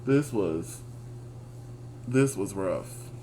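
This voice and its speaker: A man's voice: lowish voice, animated, extremely slow, dark tone, slight background noise. A man from Texas with a Southern accent, co-hosting a casual review chat in a laid-back, chuckling way. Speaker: podcast co-host.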